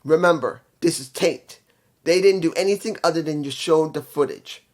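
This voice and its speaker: A man's voice: a little high, moderate pace. A man with a congested, nasal voice from allergies, giving a casual spoken review of a wrestling show with rambling restarts.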